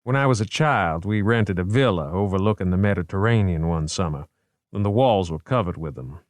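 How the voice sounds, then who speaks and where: A man voicing a genteel, erudite male investigator in a measured, formal, wry manner, in an audiobook. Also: sits low, lively intonation, no room sound. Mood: calm, wry.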